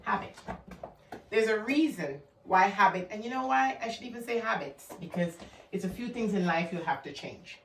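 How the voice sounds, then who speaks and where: A woman's voice: mid-range voice, lively intonation, moderate pace, a bit bright, echoey room, distant mic, slight background noise, low-quality recording. A woman with a Guyanese accent giving an emphatic motivational talk, repeating her key words for stress. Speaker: motivational vlogger.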